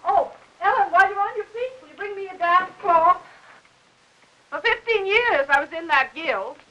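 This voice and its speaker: High-pitched, fussy, chattering aunt. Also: female voice, lively intonation, normal speed, bright, forceful tone, highs cut off, exaggerated voice. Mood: indignant, chatty. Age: middle-aged. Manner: talkative, fussing, gossipy. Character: chatty, fussy, well-meaning middle-aged aunt. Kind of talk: 1950s Hollywood melodrama film acting. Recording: old 1950s film soundtrack.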